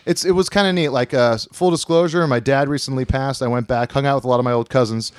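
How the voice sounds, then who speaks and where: A man's voice: mid-range voice, quick pace, no room sound, studio quality. A man from Hawaii telling a family story in casual chat, rambling with many fillers and restarts.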